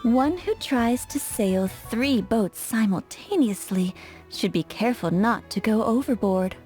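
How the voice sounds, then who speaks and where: A flirtatious perfumer, high mellow voice. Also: female voice, lively intonation, unhurried, soft background music. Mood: poised, instructive. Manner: coquettish, instructive, poised. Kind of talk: English video game voice acting.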